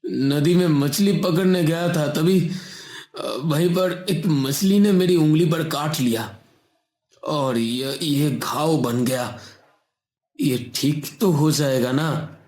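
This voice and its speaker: Man playing a slow, echoey bullying wrestler. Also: fairly high pitch, a little mellow. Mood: worried, pained. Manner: slow, taunting, domineering. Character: greedy bullying wrestler, mean to a poor fisherman. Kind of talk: cartoon moral story voice acting. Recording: roomy echo on the voice.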